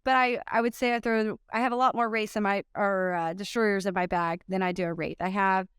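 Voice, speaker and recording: A woman in her thirties from Oklahoma, a pro disc golfer, answering interview questions in an upbeat, chatty way with frequent ums and quick laughs. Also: medium pitch, fairly even intonation, a bit bright, clear, resonant tone, dry recording, studio quality.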